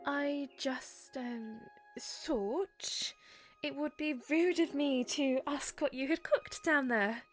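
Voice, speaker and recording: Soft-spoken young princess, with a high voice and slow, halting delivery. She is gentle and a little nervous, pausing and stumbling over her words. Faint music plays under her lines in this audio drama. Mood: hesitant. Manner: soft, hesitant, halting with fillers. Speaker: voice actor. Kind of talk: fan audio drama acting.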